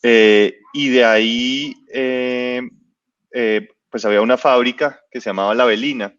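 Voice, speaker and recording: A man's voice: medium pitch, steady tone, unhurried, fairly bright tone, no room sound, lo-fi sound. A man in his late thirties, a business guest, telling his life story in a casual interview with many hesitations and restarts. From born in Spain, raised in Ecuador and Colombia. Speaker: guest entrepreneur.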